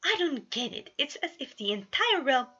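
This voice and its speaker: A woman's voice: high-pitched, big pitch swings, normal speed, sharp tone, dull audio, pitched above the performer's own voice, full character voice. A woman voicing a proud, theatrical, easily flustered male prince in a dramatic fanfiction reading. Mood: nervous. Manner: dramatic, defiant.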